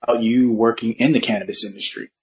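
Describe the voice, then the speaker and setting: Male interviewer, mid-pitched, easygoing brief questions, lo-fi recording. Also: fairly even intonation, fast, slight background noise. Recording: dull lo-fi sound.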